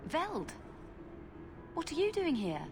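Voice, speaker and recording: An actress playing a young female rogue in a fantasy game, sharp-tongued, sassy and defiant. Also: high voice, huge pitch swings, slow, in a large hall, noisy background, full character voice. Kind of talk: dramatic game dialogue.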